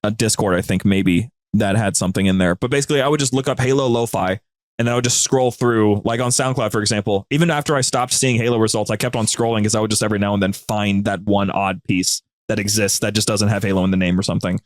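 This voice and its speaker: A man's voice: on the low side, steady tone, fast, dry recording, studio quality. A man talking casually as a podcast guest, explaining things in an enthusiastic storytelling way. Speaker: podcast guest and co-host of a media group's show. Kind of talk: casual interview talk.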